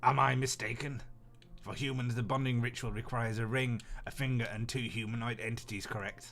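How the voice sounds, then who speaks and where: A polite, naive alien queen from an anime game, read by a man in his everyday register. The delivery is formal and matter-of-fact, with a bright, crisp edge. Faint music plays underneath. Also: medium pitch, steady tone, brisk, natural voice. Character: polite, literal-minded female Martian queen, naive about humans. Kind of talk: visual novel read aloud in character.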